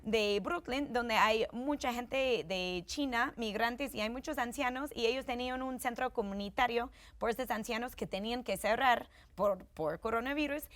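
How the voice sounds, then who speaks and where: A woman's voice: on the high side, moderate pace, hardly any pauses. A woman from New York, a journalist guest speaking in a panel discussion, thoughtful and at times hesitant. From New York, United States.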